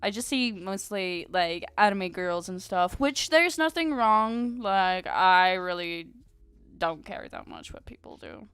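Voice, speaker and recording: A woman's voice: medium pitch, animated, measured pace, fairly bright tone, smooth, no room sound, faint music behind. Bubbly young woman chatting on stream. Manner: bubbly and chatty, many "like"s and giggles. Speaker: VTuber streamer. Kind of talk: casual live-stream chat with viewers.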